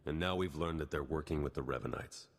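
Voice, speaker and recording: Deep-voiced, confident spy. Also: male voice, fast. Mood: businesslike. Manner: businesslike, direct. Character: pragmatic, confident male spy. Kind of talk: video game voice acting.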